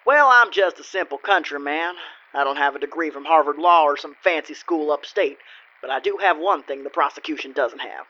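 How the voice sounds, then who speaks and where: A man acts out a folksy country lawyer in a comic radio play, pleased with himself and fond of courtroom showmanship. His delivery is lively and forward with a bright edge, on a slightly dull, lo-fi recording. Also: fairly high pitch, brisk. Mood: sly, self-satisfied. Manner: folksy, theatrical courtroom patter. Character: folksy, smug country lawyer, self-styled simple countryman. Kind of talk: comedic old-time radio play acting.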